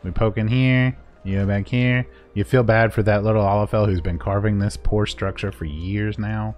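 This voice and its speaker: A man with a fairly low voice narrates a video game quest guide in a casual, joking way. His delivery is informal and playful, like someone making a silly walkthrough for fun. Music plays behind him. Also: steady tone, moderate pace, darkish tone. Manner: playful, informal, voices paraphrased dialogue and silly sound words. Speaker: gaming video creator giving a quest guide. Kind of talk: casual, jokey walkthrough commentary over gameplay.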